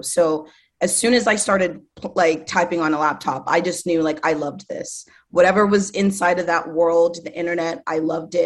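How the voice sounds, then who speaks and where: A woman in her thirties from Zimbabwe, a guest speaking casually and enthusiastically, with many fillers. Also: fairly low pitch. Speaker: guest, artist manager. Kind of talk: casual interview conversation.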